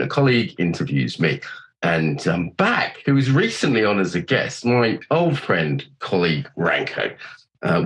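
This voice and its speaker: A middle-aged man talks in a loose, chatty way, swearing freely as he thinks out loud. He has a cold, and his voice sits a little low. He speaks as a podcast host who is being interviewed on his own show. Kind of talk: casual chat.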